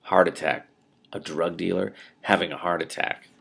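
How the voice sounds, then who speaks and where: A man with a fairly low voice and a strong fry reads fiction aloud. His delivery is expressive and emphatic, sometimes falling to a hush, with the informal feel of a host sharing his reactions. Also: moderate pace. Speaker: host reading a novel aloud with commentary. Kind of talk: expressive audiobook-style reading with spontaneous reactions.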